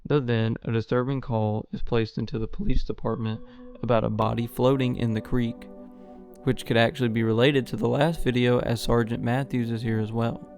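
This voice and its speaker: A man's voice: medium pitch, steady tone, normal speed, darkish tone, soft background music. A man hosting a video essay, giving a scripted explainer narration.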